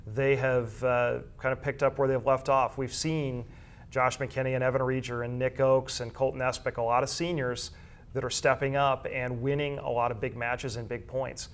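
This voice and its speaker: A man discussing high school sports in a conversational way, explaining at length with measured, slightly halting phrasing. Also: level tone.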